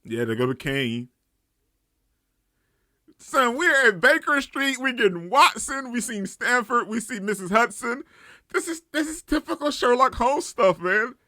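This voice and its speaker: A man giving an excited, casual reaction commentary, exclamatory and slangy as he hosts. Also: extremely high pitch, measured pace, dry recording, studio quality. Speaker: anime reaction host.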